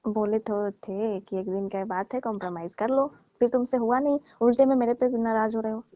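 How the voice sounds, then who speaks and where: A woman's voice: fairly high pitch, steady tone, fairly quick, dry recording, close to an everyday voice. A woman playing a married older lover in a phone call drama, coaxing and teasing as she placates her sulking younger man. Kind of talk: scripted prank-style phone call drama in colloquial Hindi. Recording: dull lo-fi phone call recording.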